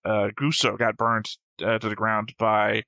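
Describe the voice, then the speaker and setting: A man's voice: lowish voice, completely flat delivery, measured pace, sharp tone, no echo, studio quality. A man hosting a reaction show, talking casually and argumentatively with frequent swearing. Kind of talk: casual discussion, reacting to an animated series.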